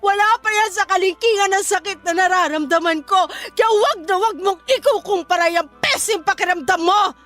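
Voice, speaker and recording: A woman's voice: very high voice, normal speed, few pauses, a bit bright. An actress with a Filipino accent playing a betrayed, furious young woman in a radio drama, scolding with intense anguish. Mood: anguished, angry. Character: betrayed, furious, heartbroken young woman leaving her cheating boyfriend.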